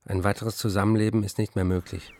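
An actor playing a weary, embittered male writer reading out his diary notes and letters in a measured radio play delivery. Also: lowish voice, flat delivery, warm tone, no room sound, clean studio sound, natural voice. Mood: bitter.

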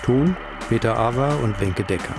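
A man's voice: mid-range voice, normal speed, gravelly. A man announcing the closing credits of a radio drama in a scripted read. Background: music.

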